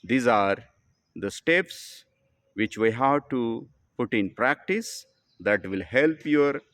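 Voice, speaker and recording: A man with an Indian accent giving a spoken English lesson. He talks in slow, simple, deliberate sentences and often calls the listener "my dear friend.". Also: medium pitch, expressive, fairly bright tone, dry recording, studio quality. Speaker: English teacher.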